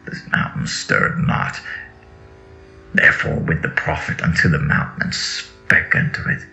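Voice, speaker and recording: A man with a deep, gravelly voice gives a solemn, theatrical recitation of scripture, drawing out phrases and swelling with emphasis. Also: unhurried, sharp tone. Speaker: reader. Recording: dull lo-fi audio with music underneath. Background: music.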